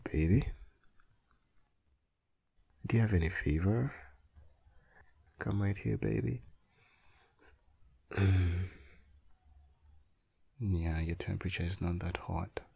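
A man plays a tender, playful young husband and father in an ASMR roleplay. His voice sits very low, crackling with fry, and he takes his time soothingly. The sound is a little dull. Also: darkish tone, exaggerated voice. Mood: gentle concern. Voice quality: low, gravelly, husky. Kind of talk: intimate ASMR boyfriend audio roleplay.

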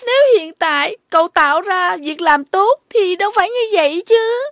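A woman's voice: very high-pitched, lively intonation, normal speed, a bit bright, slight background noise, exaggerated voice. A woman voicing a defensive, pleading talking flower in a cartoon. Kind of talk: cartoon dubbing. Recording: music behind the voice.

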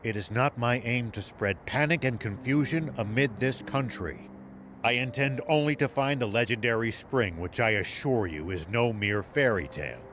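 An actor playing a grave, resolute elderly former general in a video game, measured, terse and commanding. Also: male voice, mid-range voice, fairly bright tone, slight background noise, lightly acted. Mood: solemn, earnest. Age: older.

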